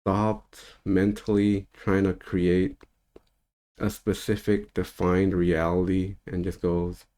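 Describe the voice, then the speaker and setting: Man, 30s, very low voice, casual spiritual-teacher monologue, meandering and relaxed. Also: slow pace. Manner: loose and rambling, with easy laughs.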